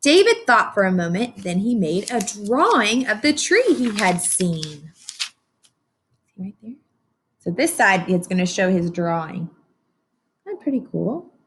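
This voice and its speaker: Женщина с живой интонацией читает детям вслух. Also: female voice, mid-range voice, sing-song, a little slow, pauses often, slight background noise. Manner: encouraging, checks understanding with simple questions. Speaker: teacher reading a picture book aloud. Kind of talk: read-aloud to young children with questions and explanations.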